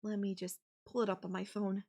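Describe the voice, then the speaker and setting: A young woman playing a sweet, possessive yandere girlfriend in an intimate audio roleplay, speaking softly and haltingly to the listener. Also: mid-range voice, flat delivery, creaky. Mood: doting, gentle.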